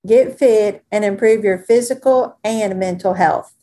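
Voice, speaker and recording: A woman's voice: medium pitch, no room sound. A woman co-hosting a podcast as a dietitian, talking casually and a little stuffy. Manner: relaxed, chatty, drawn-out fillers. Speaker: podcast co-host, registered dietitian. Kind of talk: casual chat.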